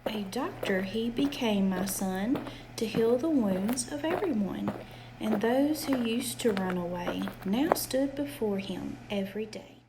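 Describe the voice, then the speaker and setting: Higher-voiced woman reading a bedtime story. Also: measured pace, heavy background noise. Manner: rhythmic, animated read-aloud with emphatic questions.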